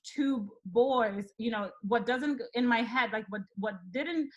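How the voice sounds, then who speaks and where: A woman's voice: on the high side, takes it slowly, highs cut off. A woman from the Dominican Republic hosting a casual chat, candid and rambling with many fillers. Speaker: host.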